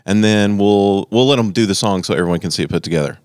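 A man's voice: on the low side, quick pace, a little mellow, smooth, no echo, studio quality. A man co-hosting a podcast, chatting casually and joking as he tells stories. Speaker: podcast co-host. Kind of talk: casual conversation.

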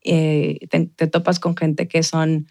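A woman's voice: deep voice, level tone, takes it slowly, warm tone, dry recording. Mexican woman hosting, close and dry. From Mexican border region. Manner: encouraging, with frequent agreeing murmurs. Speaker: podcast host. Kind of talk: casual interview.

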